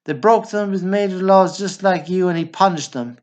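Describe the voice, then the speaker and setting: A man's voice: high-pitched, fairly quick, lightly acted. A male actor playing an indignant, profane older brother and war veteran in a radio play. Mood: preachy indignation. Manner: profane, blustering, preachy.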